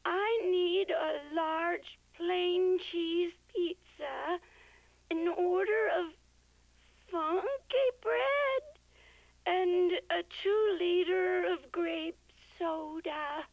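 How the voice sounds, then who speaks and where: Puppet-show radio caller played by a woman: confused, single-minded lady, piercingly high, plaintive, on a dull narrow-band line. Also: dry recording, exaggerated voice. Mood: plaintive, insistent. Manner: drawn-out, single-minded, oblivious. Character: confused, insistent female pizza-ordering caller, slow and plaintive.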